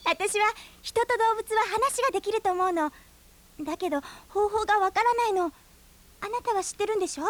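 A woman's voice: very high-pitched, slight background noise. An actress playing a spirited, stubborn young woman in a radio drama, arguing her case insistently. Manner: quick-witted, debating, insistent. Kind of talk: 1990s radio drama acting.

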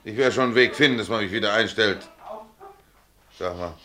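A man's voice: fairly low pitch, a little fast, pushed and bright. A man playing a stubborn, indignant working tram driver in a German radio play, blunt, emphatic and colloquial. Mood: defiant. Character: stubborn, indignant dismissed male tram driver fighting for his job.

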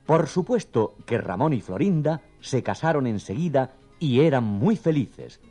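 A man's voice: medium pitch, sing-song, measured pace, warm tone, soft background music. A man narrating a scripted children's story as the storyteller.

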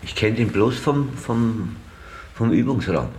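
A man's voice: medium pitch, lively intonation, takes it slowly, a little hiss or hum, poor audio. A man playing a laconic, teasing garage band musician in naturalistic radio drama dialogue, offhand and sardonic. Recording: loud guitar music behind dialogue.

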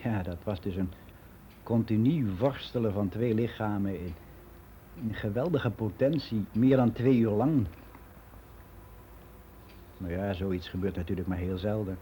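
Mellow-voiced, candid older man, played by an actor. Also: on the low side, slight background noise, lightly acted. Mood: candid, confiding. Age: 60s. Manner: frank, reflective, halting with self-corrections. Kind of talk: confessional monologue in a radio play.

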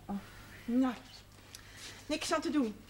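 A woman's voice: high-pitched, slow. An actress playing a bustling, motherly middle-aged woman in a naturalistic 1970s Dutch television drama. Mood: busy, fussing. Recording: noisy old TV soundtrack, clattering dishes.

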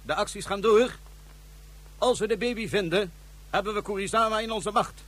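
A man's voice: sits high, moderate pace, a bit bright. An actor playing a scheming male ruler who gives curt commands in a radio drama. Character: scheming male ruler giving cold orders.

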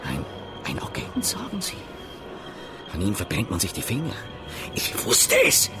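An actor playing a sentimental, lovesick painter in a radio drama, speaking in an earnest, flowery way. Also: male voice, lowish voice, huge pitch swings, a little slow, over loud music, exaggerated voice. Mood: uneasy.

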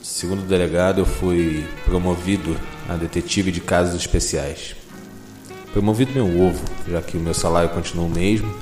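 An actor playing a cynical, foul-mouthed police detective in a Brazilian audio drama, narrating with a weary, sardonic bluntness. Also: male voice, sits low, steady tone, normal speed, echoey room, over music, natural voice. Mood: bitter, sardonic.

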